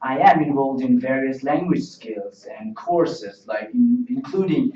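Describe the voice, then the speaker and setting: A man's voice: mid-range voice, a little slow, warm tone. A young man whose first language is Uzbek, playing a job candidate in a mock interview and answering carefully in rehearsed English with many fillers. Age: young adult. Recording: distant, roomy, dull lo-fi sound.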